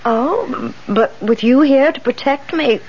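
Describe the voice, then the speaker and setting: An actress playing an anxious, fretful rooming-house landlady with a breathy voice in a 1970s radio drama. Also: high-pitched, darkish tone, a little hiss or hum. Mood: polite, uneasy. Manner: fluttery, halting, anxious. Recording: dull 1970s radio recording.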